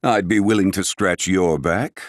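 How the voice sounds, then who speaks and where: Deep-voiced, suave, scheming casino owner. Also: male voice, fairly even intonation, measured pace, no room sound, studio quality. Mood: businesslike, scheming. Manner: suave, insinuating, formally polite. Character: smooth-talking, calculating casino owner and card dealer. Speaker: game character voice actor. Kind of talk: scripted video game dialogue.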